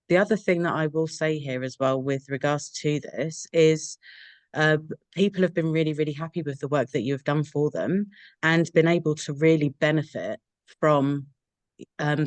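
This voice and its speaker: A woman's voice: deep voice, darkish tone, no room sound. A woman hosting an interview podcast, who asks long, rambling questions with fillers. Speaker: podcast host.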